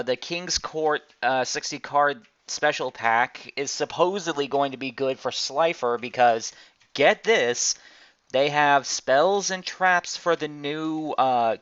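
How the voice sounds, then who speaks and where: A man's voice: fairly high pitch, fairly bright tone, smooth, highs cut off. A man chatting casually about card games on an online podcast, talking as a host would. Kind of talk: casual card game chat. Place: online group voice call.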